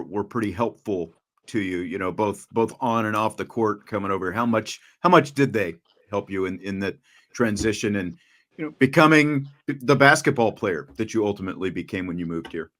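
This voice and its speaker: A man's voice: on the low side, normal speed, a bit bright. A man interviewing a young athlete, asking long, rambling questions and often restarting his sentences. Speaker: interviewer, radio play-by-play announcer. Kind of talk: conversational interview.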